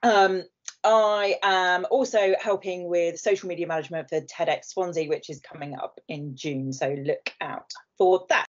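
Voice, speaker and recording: A woman's voice: lowish voice, fairly even intonation, moderate pace. A woman with a southern English accent presenting to an online group, friendly and chatty. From southern England. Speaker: guest speaker, marketing presenter. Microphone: video call. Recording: dull lo-fi video call audio.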